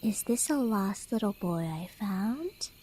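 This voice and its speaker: A woman's voice: mid-range voice, expressive, mellow. A woman playing a playful, teasing, clingy cat beastkin in a flirty ASMR roleplay, with a coy manner. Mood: sly teasing. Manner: coy, teasing, with drawn-out breathy whispers.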